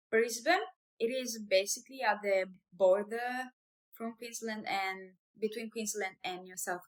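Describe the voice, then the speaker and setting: A woman's voice: mid-range voice, fairly bright tone, poor audio. Italian woman, thirties, non-native English, casual and hesitant vlogger. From Italy. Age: 30s. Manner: chatty, hesitant, with fillers and restarts. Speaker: vlogger, business owner. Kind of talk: casual personal vlog.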